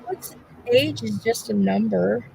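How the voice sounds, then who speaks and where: Slow-talking gravelly woman host. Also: on the high side, darkish tone, a little hiss or hum, poor audio. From Kansas. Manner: chatty, reads facts aloud and stumbles over words. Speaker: livestream host. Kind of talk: casual chat.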